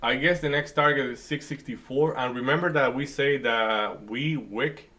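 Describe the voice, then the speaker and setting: This is a man from the Dominican Republic who speaks with a Dominican Spanish accent, Spanish being his first language. His voice is bright and forward. He chats loosely and jokingly while calling trades, often breaking off and restarting his sentences. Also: mid-range voice, moderate pace, slight background noise. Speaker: day trading streamer host. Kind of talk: casual live-stream chat and market commentary.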